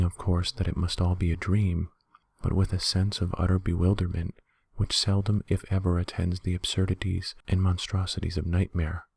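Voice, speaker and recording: A man reading a horror story as a narrator in a quiet, measured, soft-spoken ASMR style. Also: sits low, vocal fry, slightly rough, dry recording. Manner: quiet, measured, hushed delivery.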